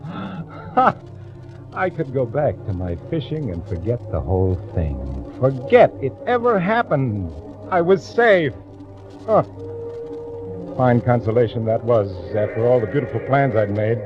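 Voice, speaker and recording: Nervous meek clerk, animated delivery. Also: male voice, mid-range voice, measured pace. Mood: anxious, scheming. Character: lonely, meek male bank clerk, nervous and bitter. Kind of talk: 1940s radio acting, first-person dramatic narration. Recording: dull vintage radio recording. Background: dramatic music.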